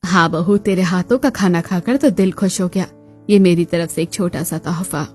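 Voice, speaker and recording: A woman's voice: fairly low pitch, moderate pace, a little mellow, faint music behind, voice pushed down, lightly acted. A woman voicing an older, bossy mother-in-law in a family cartoon, with a firm, matronly manner. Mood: pleased.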